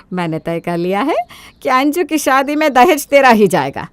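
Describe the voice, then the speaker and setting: Woman playing a bossy, scheming mother-in-law, animated. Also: sits high, slow, no echo, voice pushed up, heavily acted. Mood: brazen. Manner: scolding, domineering, brazen. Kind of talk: Hindi cartoon moral story acting.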